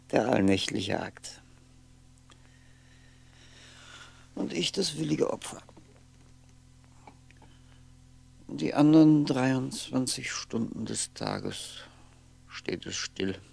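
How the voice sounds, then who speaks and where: Lonely aging man, very gravelly, very slow, halting night-time brooding, old radio play. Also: mid-range voice, very animated, darkish tone, full character voice. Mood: brooding, wry. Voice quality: gravelly, often sinking into breathy whisper. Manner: halting, brooding, fragmented musing with pauses. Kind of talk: 1960s radio drama monologue. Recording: 1960s radio recording, faint noise.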